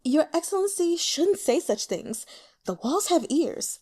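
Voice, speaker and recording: A woman's voice: high-pitched, sing-song, a little slow, darkish tone, pitched above the performer's own voice. A woman voicing an aging, insincere courtesan with a coy front and a scornful streak, in an audiobook. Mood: gentle scolding. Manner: coy and girlish with clients.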